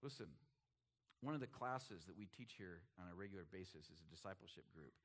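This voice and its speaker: Man, middle-aged, pastor, sermon, lively pitch, hushed-to-emphatic delivery. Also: brisk. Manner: animated preaching, swings from hushed to emphatic.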